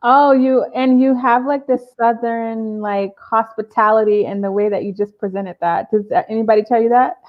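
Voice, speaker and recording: A woman's voice: a little high, fairly even intonation, moderate pace, hardly any pauses, highs cut off. A woman therapist hosting a casual live video interview, talking with many ums and uhs. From Boston area. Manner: warm-up chatter with many fillers. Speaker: therapist and live show host.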